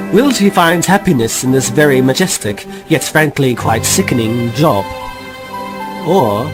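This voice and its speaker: A man giving playful, mock-grand narration for an audio drama. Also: mid-range voice. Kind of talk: scripted narration.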